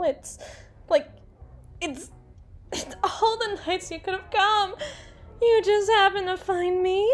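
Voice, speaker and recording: A woman performing an ASMR roleplay as a drunken, teasing, domineering female boss, rambling and stumbling over her words. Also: high voice.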